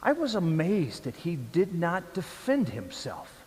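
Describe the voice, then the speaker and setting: Male actor as troubled Roman governor, church drama. Fairly high voice, very animated, emphatic, defensive, with big hall reverb. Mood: insistent. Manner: emphatic, declamatory, deliberate. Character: troubled Roman governor, indignant and self-justifying. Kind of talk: church dramatic monologue, theatrical storytelling.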